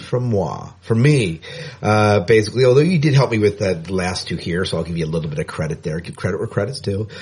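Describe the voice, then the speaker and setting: A man's voice: medium pitch, slightly rough. A man co-hosting a podcast, chatting casually and correcting himself as he goes. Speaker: podcast co-host.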